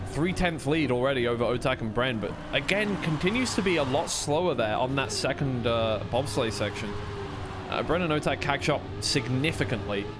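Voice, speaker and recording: Guy casting a racing game live, casual play by play, average pitch, car noise in the background. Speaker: esports commentator streaming a tournament.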